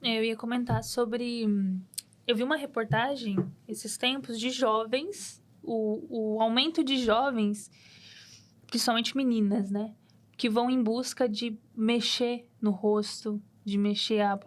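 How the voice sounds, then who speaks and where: A woman's voice: on the high side, steady tone, unhurried. A woman, hosting a studio podcast and thinking aloud in a casual, reflective way, with restarts and open questions. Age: 20s. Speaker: podcast host. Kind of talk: casual chat.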